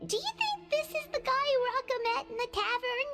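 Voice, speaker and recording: A woman voicing a tiny, excitable, childlike fairy companion in a video game, chirpy and inquisitive. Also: very high voice, moderate pace, over music. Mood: curious, suspicious.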